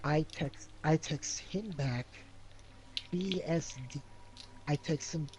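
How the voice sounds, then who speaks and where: Slow-paced male gamer over background noise. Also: fairly high pitch, darkish tone. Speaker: player in a tabletop role-playing game. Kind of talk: casual in-character game play. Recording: background noise with keyboard typing.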